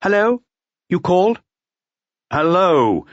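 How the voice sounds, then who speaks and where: A man's voice: high voice, big pitch swings, slow, dry recording, lo-fi sound, pitched above the performer's own voice, heavily acted. A man voicing a puzzled, irritable hotel employee on the telephone in an audiobook.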